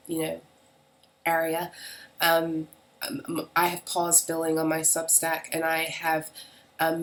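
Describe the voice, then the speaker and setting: A woman reading tarot and astrology in a casual solo video, hoarse and raspy from a head cold, talking in a halting way with frequent ums. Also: a little hiss or hum, rough recording. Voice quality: raspy, deeper than usual. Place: at home in the UK.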